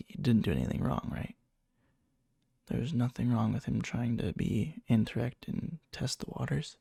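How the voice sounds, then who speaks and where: A needy, obsessive young man in love , acted by a man for an ASMR roleplay, murmuring very huskily with heavy fry. He is coy and hesitant, unhurried, as if confiding a secret crush. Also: mid-range voice, no room sound, studio quality, full character voice. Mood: defensive, coy. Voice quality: breathy, soft, fry-edged. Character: needy, lovesick, obsessive young male friend confessing indirectly. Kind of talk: whispery ASMR roleplay, intimate storytelling.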